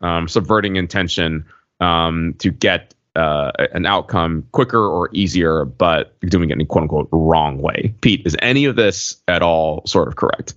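Deep-voiced man podcasting in an echoey room. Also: moderate pace, fairly bright tone. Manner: wordy, discursive, hesitant explaining with many asides. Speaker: podcast co-host. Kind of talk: casual podcast chat, explaining video game slang. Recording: muffled audio in an echoey room.

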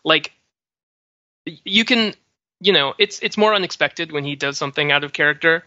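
A man in a casual panel discussion, thinking aloud with hesitant pauses as he gives his opinions. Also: fairly high pitch, bright, forceful tone, no echo. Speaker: podcast panelist. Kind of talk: casual pop-culture discussion. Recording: dry but dull lo-fi audio.

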